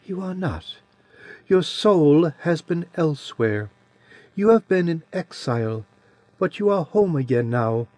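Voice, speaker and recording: A man's voice: fairly high pitch, lively intonation, unhurried, no echo, pitched above the performer's own voice. A man doing Adiran, a sweet-voiced ancient crone. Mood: eerie calm. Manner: soft and quiet. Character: ancient tiny crone of a coven, sweet childish voice. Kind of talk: audiobook character voice.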